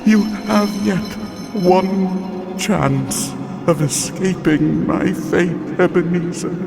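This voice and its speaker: An actor playing the solemn, anguished ghost of a dead business partner in a radio play, with halting, portentous delivery. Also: male voice, high-pitched, gravelly, heavily acted. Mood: ominous warning. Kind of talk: theatrical radio-play acting. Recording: music under the voice.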